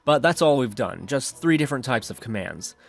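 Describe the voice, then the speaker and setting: A man's voice: mid-range voice, lively intonation, a little fast, gravelly. Man narrating a coding tutorial over music. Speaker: tutorial host. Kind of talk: instructional screencast narration.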